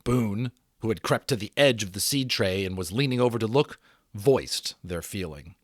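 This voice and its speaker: A man giving a dramatic audiobook reading, expressive and full of theatrical pauses. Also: lowish voice, no room sound. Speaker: storyteller. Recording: dry close recording.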